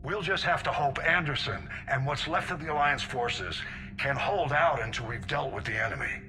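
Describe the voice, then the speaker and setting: A man's voice: mid-range voice, lively intonation, normal speed, lightly acted. An actor playing a resolute senior male military admiral in a video game, direct and commanding in his delivery. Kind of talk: video game character dialogue.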